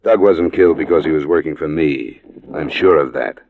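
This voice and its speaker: A man's voice: very deep voice, moderate pace. A man acting as a tough, world-weary insurance investigator with a clipped American delivery in a 1950s radio drama. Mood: somber, careful. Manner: clipped, hard-boiled. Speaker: actor in a radio detective series. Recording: muffled, noisy vintage radio recording.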